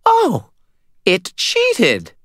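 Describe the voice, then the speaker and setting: A man voicing a giddy high vampire girl. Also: huge pitch swings, unhurried, a bit bright, no echo, studio quality, heavily acted. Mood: shrieking. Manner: gushing, breathy high voice. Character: petite female vampire, giddy and bloodthirsty. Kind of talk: audiobook character voice.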